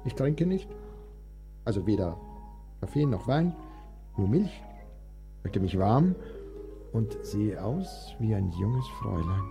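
A man acting a sickly, melancholic and capricious young composer in a German radio play, theatrical, drifting between languor and excitement. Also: on the low side, slow, creaky, pauses often, full character voice. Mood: excited, boastful. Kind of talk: German audio drama acting. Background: music.

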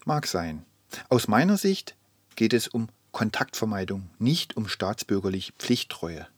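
An actor voicing a calm, thoughtful man in a radio play collage of social media posts, speaking in a measured, reflective, articulate way. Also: fairly low pitch, breathy edge, dry recording, exaggerated voice.

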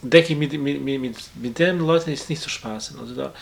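A man from abroad answering interview questions in a halting way, repeating words and restarting sentences. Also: mid-range voice, gravelly, slight background noise. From outside Germany. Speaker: interviewee, acquaintance of the victim. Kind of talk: hesitant conversational interview.

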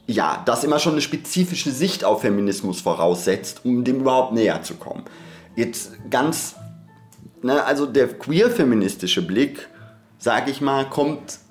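A man talking reflectively and theoretically as a podcast host, hesitant and digressive as he searches for words. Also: medium pitch.

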